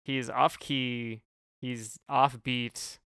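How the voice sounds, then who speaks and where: Clear-voiced man chatting on a dry podcast. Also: mid-range voice, level tone, drawn-out words, a bit bright, no echo. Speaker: podcast co-host. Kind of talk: casual chat about music.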